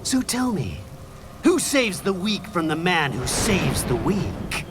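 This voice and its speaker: An actor playing a sinister philosophizing villain in a video game cutscene, with a taunting, theatrical delivery. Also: male voice, high voice, big pitch swings, slow, noisy background, full character voice. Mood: sinister preaching. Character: philosophizing villain, sneering, sinister, theatrical male terrorist fighter. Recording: loud music behind the voice. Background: loud game music.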